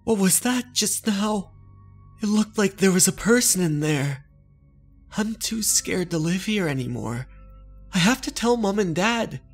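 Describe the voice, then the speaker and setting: A man voicing a frightened teenage girl in a horror manga dub, anxious, breathy and pleading. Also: high voice, lively intonation, a little slow, faint music behind, pitched above the performer's own voice, full character voice. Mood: uneasy, startled.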